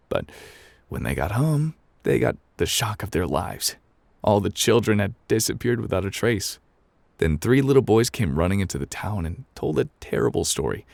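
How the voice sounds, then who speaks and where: A man voicing a gruff, indifferent game character who reads a bedtime story in an offhand ASMR narration style with dramatic pauses. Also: low voice, no room sound.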